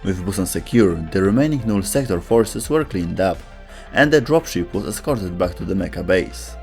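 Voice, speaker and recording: A man's voice: low-pitched, expressive, normal speed, creaky, a little mellow, faint music behind. A man reading scripted narration as a video host.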